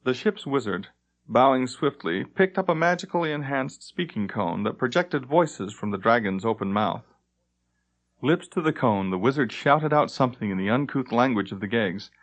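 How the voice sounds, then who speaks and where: A man's voice: medium pitch, lively intonation, normal speed. A man reading scripted fantasy narration as an audiobook narrator.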